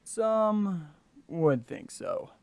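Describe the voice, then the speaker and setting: A man's voice: high-pitched, big pitch swings, extremely slow, gravelly, darkish tone, slight background noise, full character voice. A man playing a dismissive, brusque pony who works at an opera house, in an audio drama.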